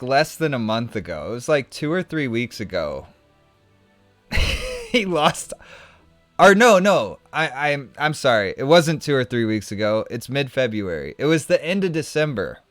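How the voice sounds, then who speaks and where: Male streamer, smooth clear voice, chatty storyteller with faint music behind. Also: mid-range voice, unhurried, dry recording. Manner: animated, rambling, many restarts and "like". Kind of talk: casual storytelling while gaming.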